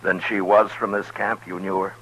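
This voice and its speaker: An actor playing a grave, weary frontier lawman in 1950s radio western style, speaking with restraint and deliberate pauses. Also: low-pitched, flat delivery, bright, forceful tone, a little hiss or hum, poor audio. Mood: grave, earnest. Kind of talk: 1950s radio western acting with first-person narration. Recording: 1950s radio broadcast with music.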